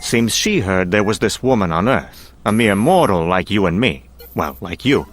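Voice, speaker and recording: A man acting as a menacing, grandiose scientist-industrialist in a comic-book drama, speaking theatrically with deliberate pauses. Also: lowish voice, animated, faint music behind. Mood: theatrical storytelling.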